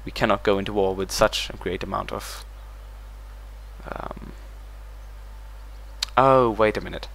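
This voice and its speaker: A man's voice: fairly low pitch, fairly even intonation, a little slow, gravelly, long pauses, fairly bright tone, slight background noise. A man hosting a let's play, talking through his strategy game moves as he thinks them over. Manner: thinks aloud while managing a strategy game. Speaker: gaming channel host.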